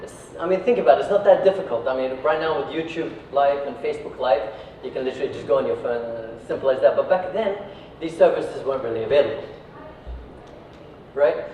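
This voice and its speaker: A man's voice: medium pitch, brisk, dark tone, distant mic. A man from Iraq giving an informal, conversational talk to an audience, often checking in with short tag questions. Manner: conversational, peppers sentences with tag questions like right and yeah. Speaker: speaker giving a talk, company founder. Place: echoey indoor venue, recorded at a distance. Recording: echoey room from afar, dull, faint noise.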